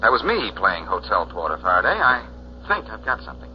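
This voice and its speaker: An American man acting a quick-witted, wisecracking detective in 1940s radio style, wry and smooth-talking. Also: fairly low pitch, wildly swooping pitch, slight background noise. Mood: businesslike. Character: quick-witted, wisecracking male amateur detective, cool under pressure. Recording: muffled, humming old radio recording.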